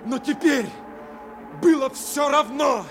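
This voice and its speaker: A man's voice: extremely high pitch, takes it slowly, over music, full character voice. An actor playing an intense, desperate crewman of a stricken bomber, with strained and emphatic radio-drama delivery. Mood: anguished, defiant. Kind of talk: impassioned Soviet radio drama acting. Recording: noisy aircraft sound effects over voice. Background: loud engine noise.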